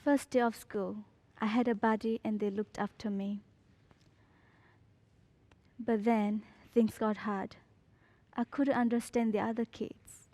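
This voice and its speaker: A teenage girl from Burma, a student, reading her personal story in a slow, measured, deliberate way. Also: fairly high pitch, flat delivery, warm tone, dry recording.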